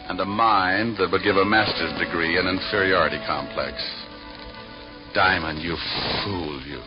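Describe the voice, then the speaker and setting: Deep-voiced wisecracking private eye, played by an actor. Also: male voice, close to an everyday voice. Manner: dry, sardonic, slangy. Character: wisecracking hard-boiled male private detective. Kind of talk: first-person noir narration, 1940s radio acting. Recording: muffled vintage radio recording with music.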